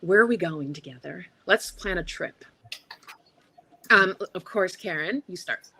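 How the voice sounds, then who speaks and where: A woman's voice: on the low side, measured pace, pushed and bright, slight background noise. A middle-aged woman bantering casually as a dating game contestant, playful and full of exclamations.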